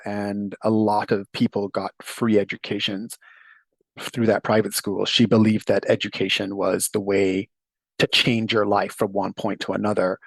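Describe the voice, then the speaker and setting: Low-voiced man, dry sound. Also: dry recording. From Jamaica. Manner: thoughtful, warm, laughs easily, occasional restarts. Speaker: interview guest. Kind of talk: reflective interview storytelling.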